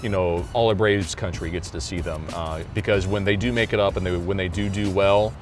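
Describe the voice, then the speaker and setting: An American man in his thirties with a booming announcer voice, answering interview questions casually as a public address announcer. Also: fairly even intonation, brisk, over music.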